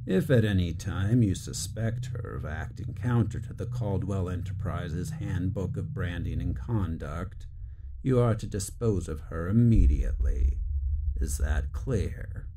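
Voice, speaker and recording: A man's voice: sits low, measured pace. An actor playing a cold, condescending corporate bureaucrat who gives clipped orders and interrogates his captive. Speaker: superior officer running an interrogation.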